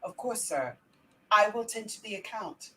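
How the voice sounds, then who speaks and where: A woman's voice: mid-range voice, animated, normal speed, sharp tone, far from the mic, slight background noise, low-quality recording. A woman voicing an earnest, polite young male clerk, reading a script in a formal, deferential manner. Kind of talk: amateur script read-through.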